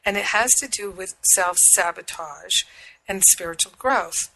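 Husky-voiced woman hosting spiritual radio. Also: mid-range voice, pushed and bright, rough recording. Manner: slow, soothing and affirming. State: recovering from illness. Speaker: spiritual radio show host and minister. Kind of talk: prayer and spiritual teaching talk.

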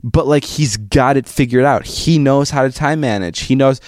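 A man's voice: mid-range voice, normal speed, darkish tone, no echo, studio quality. A young man co-hosting a casual podcast, telling stories with a joking manner and frequent restarts. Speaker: podcast co-host.

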